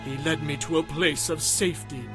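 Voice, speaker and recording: A man's voice: fairly high pitch, fairly even intonation, moderate pace, natural voice. An actor playing a devout warrior king, reciting praise to God solemnly and fervently in a dramatized scripture reading. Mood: solemn awe. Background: music.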